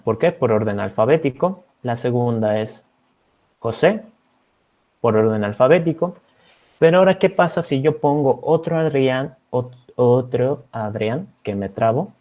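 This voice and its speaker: A man's voice: medium pitch, a little mellow. A man from Bolivia teaching an online programming class as a teaching assistant. He explains code step by step and keeps checking in with his students. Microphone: video call. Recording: slightly dull video-call audio.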